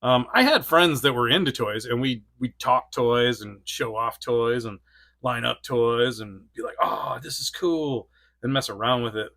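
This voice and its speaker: A man's voice: medium pitch, moderate pace, pushed and bright, dry recording. A man in his forties reviewing an action figure in a casual, rambling way, laughing often between thoughts. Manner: rambling, giggly, lots of fillers. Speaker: toy reviewer.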